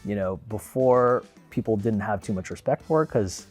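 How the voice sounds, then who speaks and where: A man in his thirties speaks in a low, easygoing voice, wandering through his story with fillers and restarts. Faint music plays quietly under his words. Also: normal speed, slightly rough. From Philippines, raised in Los Angeles. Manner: relaxed, rambling, frequent fillers and restarts. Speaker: car owner. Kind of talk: casual storytelling to camera.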